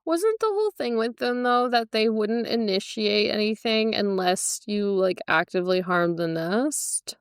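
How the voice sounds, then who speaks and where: A woman's voice: mid-range voice, expressive, unhurried, hardly any pauses, clear, resonant tone, dry recording, clean studio sound. A woman co-hosting a podcast, explaining book details in casual, tentative conversation. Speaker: podcast co-host. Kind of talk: casual book discussion chat.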